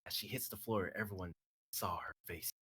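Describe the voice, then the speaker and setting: Young adult man, amateur dramatic reader, husky, bright and tense tone, casual streamer. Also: low-pitched, moderate pace. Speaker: writer reading own story on a live stream. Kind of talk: dramatic reading of a script, then casual chat.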